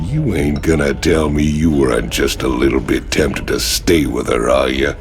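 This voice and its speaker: Very deep gravelly roguish outlaw. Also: male voice, normal speed, faint music behind. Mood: teasing, cocky. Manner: drawling, teasing. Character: roguish, burly swaggering outlaw marine buddy. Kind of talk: video game cutscene acting.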